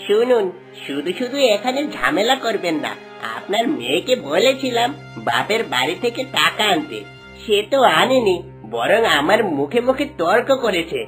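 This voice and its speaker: A bullying village husband in a melodramatic cartoon, greedy and cruel. The delivery is domineering and full of exclamations, leaping widely in pitch, with music softly behind. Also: female voice, very animated, normal speed. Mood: scornful. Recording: music bed under voice.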